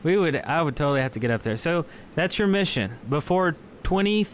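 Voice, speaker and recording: A man's voice: a little high, lively intonation, a little fast, slight background noise. A man co-hosting a live call-in podcast, chatty and easygoing, laughing often and restarting his sentences. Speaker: podcast co-host. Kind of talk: casual live call-in chat.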